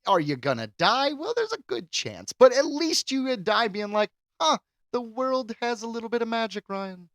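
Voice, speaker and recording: A man's voice: extremely high pitch, sing-song, moderate pace, a bit bright, no room sound, clean studio sound. A man co-hosting a podcast in casual chat, rambling and jokey as he floats speculative ideas. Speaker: podcast co-host.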